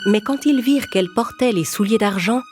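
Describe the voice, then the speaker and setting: Female storyteller, medium pitch, scripted children's narration, prominent background music. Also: a little fast.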